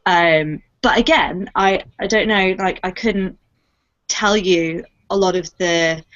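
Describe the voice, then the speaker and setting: A woman's voice: lowish voice, slow pace, fairly bright tone, highs cut off. A young woman chatting casually about her fandom as a cast member on a panel. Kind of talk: casual fan chat.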